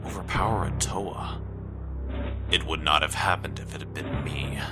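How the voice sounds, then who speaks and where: A man playing an impatient, hot-headed heroic warrior in an amateur audiobook drama, blustering and forceful. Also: a bass voice, moderate pace. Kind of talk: amateur full-cast audiobook drama acting. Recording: music bed under the voice.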